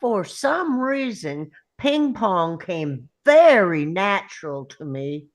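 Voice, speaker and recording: Woman doing a simple earnest young southern soldier guy, plain talk, slow and really up and down, table read. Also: mid-range voice, big pitch swings. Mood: earnest narration.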